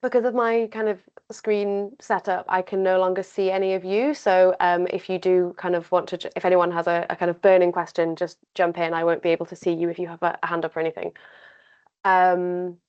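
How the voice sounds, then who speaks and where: Friendly woman presenter, low voice, dull muffled call audio. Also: little pitch movement, a little fast, a little mellow, clean tone. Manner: friendly, a bit hesitant. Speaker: guest presenter. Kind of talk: online presentation.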